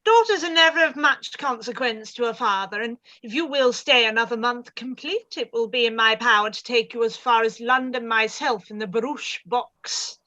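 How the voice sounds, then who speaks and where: Brief: imperious aristocratic dowager, played by a woman, condescending, crisp and forceful, lo-fi mic. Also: fairly high pitch. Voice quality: haughty, condescending, drawn-out. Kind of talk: live-read radio play.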